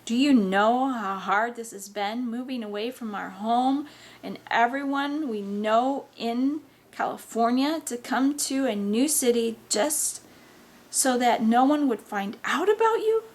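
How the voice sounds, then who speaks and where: A woman's voice: mid-range voice, animated, moderate pace, lightly acted. Stern, weary mother, as a woman reader plays her. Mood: reproachful, stern. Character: stern, suspicious, weary middle-aged mother.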